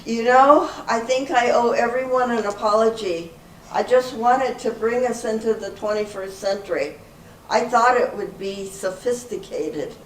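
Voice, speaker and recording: An older woman playing an earnest, excitable character in an amateur readers theater comedy, reading in a deliberate, expressive way. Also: fairly high pitch, lightly acted. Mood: sheepish. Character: earnest older woman, apologetic, increasingly exasperated in comic sketches. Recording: echoey room, faint background noise.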